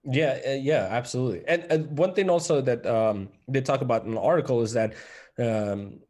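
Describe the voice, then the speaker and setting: A man's voice: mid-range voice, normal speed, darkish tone. A man with a Ukrainian accent co-hosting a casual crypto podcast, explaining news with many fillers and restarts. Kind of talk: casual panel discussion.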